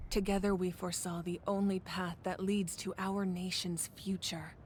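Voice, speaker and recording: An actress voicing a calm, commanding female ruler in a video game, speaking with measured authority. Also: fairly low pitch, slight background noise, natural voice. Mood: commanding, resolute. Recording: loud game music behind.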